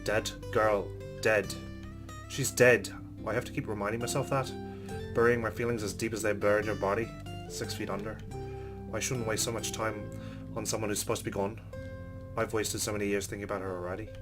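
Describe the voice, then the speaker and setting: A man's voice: on the low side, level tone, a little fast, over music. A man hosting a let's play, reading game narration aloud and adding casual commentary.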